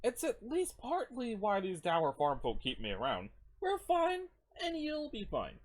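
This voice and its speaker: A performer voices a cheerful homely mystic for a comic. The voice lifts high and swoops, expansive and reassuring in its philosophizing. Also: huge pitch swings, unhurried. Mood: bright, reassuring.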